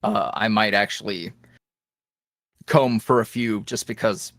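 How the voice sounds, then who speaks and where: A man's voice: on the low side, measured pace, dry recording, studio quality. A man who makes ASMR roleplays, chatting loosely as a podcast guest, often trailing off and laughing. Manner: loose and animated, trailing off and restarting, laughing between thoughts. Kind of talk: casual interview chat.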